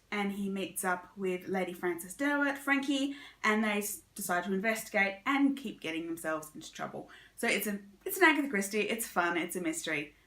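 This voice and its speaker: Casting: female book vlogger, bright crisp-edged delivery, chatty and opinionated, recorded in a roomy space. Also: mid-range voice. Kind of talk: casual monthly reading wrap-up chat.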